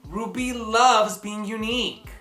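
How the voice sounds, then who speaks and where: A woman reading a children's picture book aloud in an expressive, theatrical way, with dramatic pauses and emphasis. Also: fairly high pitch. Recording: roomy echo, music behind.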